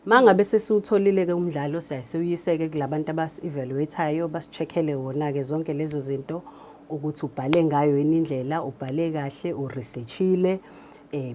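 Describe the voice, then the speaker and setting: A woman's voice: low voice, darkish tone, a little hiss or hum. A South African woman, a native Zulu speaker, explaining her work as a drama producer in an interview. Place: radio drama studio.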